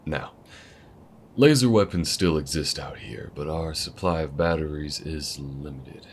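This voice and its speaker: A man voicing a calm male alien gunslinger in an audio roleplay, speaking to the listener in a slow, folksy drawl with a patient, protective manner. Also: rumbling low, a little hiss or hum. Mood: patient instruction. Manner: patient, steady instructing.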